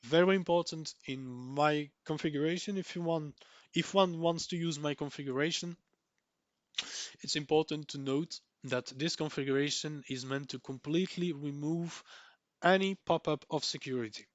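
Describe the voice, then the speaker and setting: A young man from Belgium whose first language is Dutch, talking through a software tutorial, repeating words for emphasis with many ums and restarts. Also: fairly high pitch, moderate pace, no echo, lo-fi sound. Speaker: tech tutorial creator. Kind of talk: screen-recorded software tutorial.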